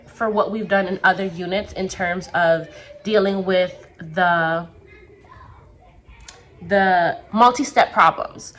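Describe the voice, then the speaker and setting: A young woman teacher talking casually to the camera from her classroom, her voice a little raspy as it recovers, chatty and full of quick asides. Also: medium pitch, a bit bright, slight background noise. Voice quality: slightly raspy. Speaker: second grade teacher.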